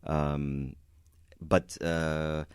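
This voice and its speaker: A man's voice: extremely low pitch, little pitch movement, drawn-out words, clean tone, no echo, clean studio sound. A man from Venezuela, a concert pianist, answering interview questions in a hesitant way, with many restarts and light chuckles.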